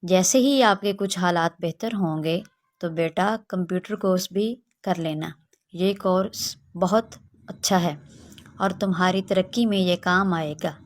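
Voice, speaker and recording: Narrator lady doing a kind village teacher, lowish voice, encouraging, earnest, kids' moral story. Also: unhurried, natural voice. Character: kindly, encouraging female village schoolteacher. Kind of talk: acted children's moral story.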